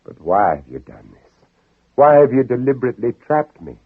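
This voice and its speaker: An actor playing an anguished Southern gentleman planter in theatrical, dramatic old-time radio style, sometimes narrating his own ordeal. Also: medium pitch, very animated, a little fast, breathy edge, dry recording. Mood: alarmed, indignant. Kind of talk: 1940s-50s radio drama acting. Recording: old radio, dull narrow band, music behind.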